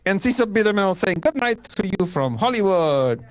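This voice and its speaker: Man putting on a high, animated old-time radio host. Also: very noisy. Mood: formal sign-off. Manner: halting, breaks into stumbles and giggles. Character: grand male radio theater host with put-on foreign accent. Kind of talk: 1940s radio announcing, read by an amateur.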